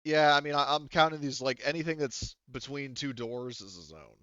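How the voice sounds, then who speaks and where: A man's voice: mid-range voice, moderate pace, bright, forceful tone, clear, resonant tone, no echo, lo-fi sound. A man casually improvising narration as game master of a tabletop game, rambling and joking as he goes.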